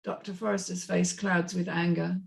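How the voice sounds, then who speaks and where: A woman's voice: fairly low pitch, level tone, normal speed, gravelly. A woman reading a screenplay's stage directions as scripted narration.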